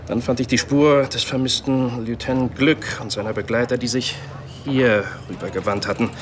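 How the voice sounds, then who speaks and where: A man's voice: mid-range voice, fairly even intonation, normal speed, lightly acted. A man acting a supremely self-assured, erudite Iroquois army sergeant in a German radio play, speaking formally, politely and eloquently. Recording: clearly noisy outdoor ambience behind.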